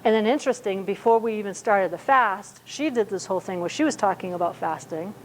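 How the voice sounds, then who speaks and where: A woman's voice: mid-range voice, lively intonation, fairly quick, slight background noise, poor audio. A woman in her fifties preaching a sermon in a conversational way, with many fillers and restarts. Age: 50s. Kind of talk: sermon at a church service.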